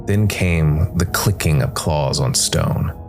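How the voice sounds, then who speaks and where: A man narrating a scripted dark fantasy story in a measured way, with dramatic pauses. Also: extremely low pitch. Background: background music.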